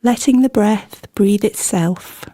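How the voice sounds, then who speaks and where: A woman leading a guided meditation in a gentle, soothing manner, with scripted instructions and unhurried pauses between phrases. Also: mid-range voice, dry recording.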